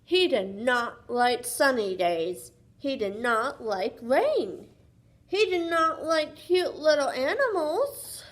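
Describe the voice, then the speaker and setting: Female children's read-aloud host: high voice, lively swoops, unhurried, sing-song, interactive questioning.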